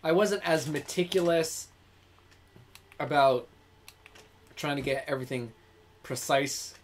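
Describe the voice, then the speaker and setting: A man's voice: fairly high pitch, takes it slowly, slight background noise. A young man co-hosting a livestream, talking candidly and hesitantly with many ums while gaming. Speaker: livestream co-host and voice actor. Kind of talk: casual chat while playing a game.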